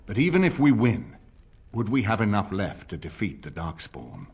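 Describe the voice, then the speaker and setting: An actor playing an older nobleman and war leader in a video game, speaking formally and with measured authority. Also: lowish voice, wildly swooping pitch, creaky, a little mellow, husky, full character voice. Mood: grave, reasoning. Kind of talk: dramatic video game dialogue.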